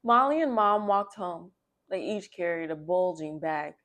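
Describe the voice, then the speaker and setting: A woman hosting a children's read-aloud, speaking in an expressive, emphatic storyteller's manner. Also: lowish voice, measured pace, a little hiss or hum.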